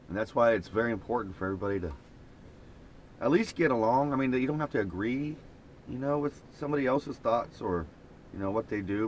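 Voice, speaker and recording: A man's voice: on the low side, a little mellow, a little hiss or hum. A man from Oklahoma with an Oklahoma accent, rambling in a folksy, casual live chat from an old camper van while reading viewer comments. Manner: rambling, folksy, laughs often.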